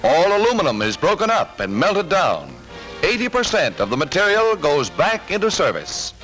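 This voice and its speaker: A man's voice: fairly high pitch, big pitch swings, normal speed, low-quality recording. A man narrating a 1940s wartime newsreel in a punchy, emphatic delivery. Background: loud orchestral music.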